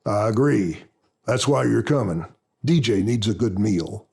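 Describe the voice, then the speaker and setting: A man voicing a gruff but loving army captain who gives orders, in an audiobook. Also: lowish voice, lively intonation, unhurried, warm tone, exaggerated voice. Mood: gruff, insistent. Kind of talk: audiobook character dialogue.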